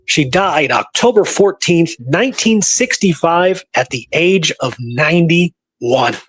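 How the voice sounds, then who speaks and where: A man's voice: fairly high pitch, animated, slow pace, fairly bright tone, husky. A man hosting a casual history talk, partly reading from notes, with an informal, rambling way of thinking aloud. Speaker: show host presenting researched history.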